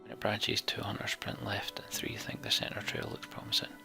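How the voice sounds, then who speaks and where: A man narrating a fantasy game's text like a storybook, in a hushed, theatrical manner. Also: lowish voice, expressive, fairly quick, gravelly, whispered, music in the background. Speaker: let's play narrator.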